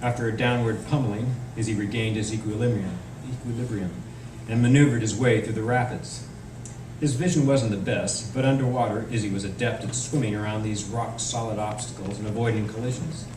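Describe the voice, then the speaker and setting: A man's voice: fairly low pitch, fairly even intonation, fairly quick. A man, an author, reading narrative prose aloud from his novel at a live indoor event. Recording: echoey noisy room, distant microphone.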